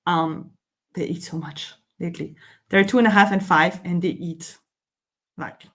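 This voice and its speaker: Woman, Belgian French accent, lowish pitch, casual chatty live stream, frequent fillers. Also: measured pace. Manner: chatty, laughs often, fillers like "um" and "like". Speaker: summit host.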